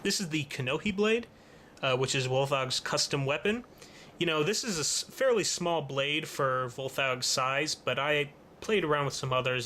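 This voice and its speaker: A man presenting a casual hobby how-to, talking in a halting, stop-start way with thoughtful pauses. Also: mid-range voice, sharp tone. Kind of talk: casual tutorial narration. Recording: noticeable background noise.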